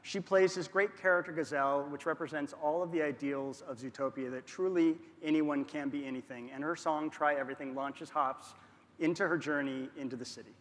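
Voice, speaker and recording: A man's voice: a little high, steady tone, brisk, a bit bright. A man introducing guests with enthusiasm on a large arena stage. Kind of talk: stage presentation. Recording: arena sound with long reverb.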